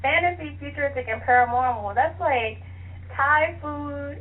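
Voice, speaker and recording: A woman's voice: high-pitched, normal speed. A woman being interviewed as an author, chatting in an enthusiastic, conversational way over a phone line. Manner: chatty, enthusiastic, laughs easily. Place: phone call to internet radio show. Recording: tinny phone line with clear hiss.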